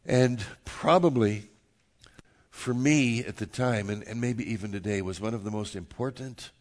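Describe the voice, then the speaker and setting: A man's voice: on the low side, gravelly, darkish tone. An older or middle-aged man preaching a sermon as a pastor, speaking emphatically with rhetorical questions and repeated phrases. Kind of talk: sermon to a congregation.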